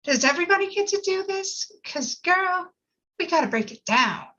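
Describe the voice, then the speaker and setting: A woman's voice: extremely high pitch, lively intonation, normal speed, pushed and bright, full character voice. A woman playing a sassy, chatty, breezily apologetic schemer at a script table read, on a slightly dull microphone. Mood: sassy, surprised.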